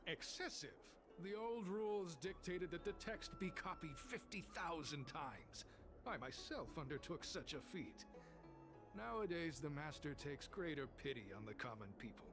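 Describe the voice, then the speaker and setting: A zealous cult disciple in a fantasy game, with a slightly high male voice. He speaks formally and solemnly, full of pious self-importance, over clearly audible music. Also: lively intonation, moderate pace. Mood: stern, proud. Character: devout, zealous male cult disciple preaching a healing deity. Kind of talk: video game voice acting.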